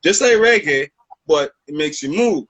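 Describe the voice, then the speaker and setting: A man in his thirties from Canada, a rapper, talks in a relaxed, rambling way and keeps checking in with "you know what I mean". His voice sits a bit high and sounds dry and close. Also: moderate pace, no echo, muffled recording. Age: 30s. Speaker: rapper guest. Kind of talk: casual interview storytelling.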